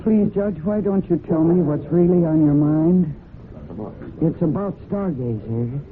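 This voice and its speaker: A woman's voice: sits low, a little slow, crowd noise. An actress playing a strong-willed, loyal and defensive woman in a vintage radio drama, speaking with earnest, emotional insistence. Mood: guarded. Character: strong-willed adult daughter, loyal and defensive about her horse. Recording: muffled, noisy old radio broadcast recording.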